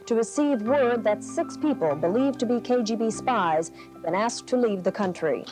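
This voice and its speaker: A woman's voice: on the high side, animated, normal speed, low-quality recording. A woman reading local television news as an anchor, in a newscast style. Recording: faint music, 1980s TV broadcast.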